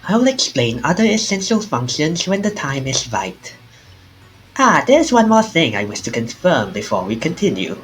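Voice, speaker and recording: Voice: mid-range voice, sing-song, normal speed, soft background music, natural voice, rough recording. A streamer voicing a mysterious, courteous storyteller. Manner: formal, theatrical delivery with small stumbles. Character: mysterious, courteous narrator of ghostly tales. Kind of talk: reading visual novel dialogue aloud.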